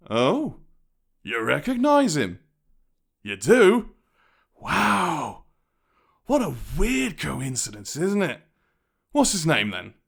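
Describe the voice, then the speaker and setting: A British gangland boss, acted by a man in a dramatic audio roleplay monologue. He threatens in a chatty, taunting tone with sardonic menace. His middle-pitched voice swings widely up and down as he toys with his victim. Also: fairly bright tone. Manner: taunting, theatrical, conversational threats with sardonic chuckles.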